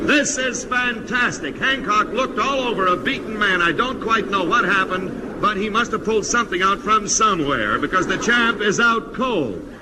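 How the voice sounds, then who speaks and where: Very noisy old radio sound surrounds a boxing commentator. His voice is high for a man. He delivers a dramatic, excitable blow-by-blow call in 1950s broadcast comedy style. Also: moderate pace, few pauses. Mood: amazed. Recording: very noisy vintage radio recording.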